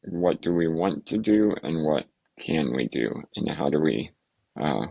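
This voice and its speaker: A man, a podcast guest, speaking casually and haltingly with many fillers, restarts and soft laughs. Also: extremely low pitch, animated, vocal fry, breathy edge, dry recording, highs cut off. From United States. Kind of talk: casual interview talk.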